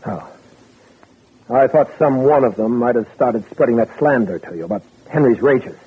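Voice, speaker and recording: A man's voice: medium pitch, moderate pace, breathy edge. An actor playing a guilty man who feigns loyal innocence, guarded and placating, in a 1940s radio drama. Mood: startled, guarded. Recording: old radio recording, narrow band.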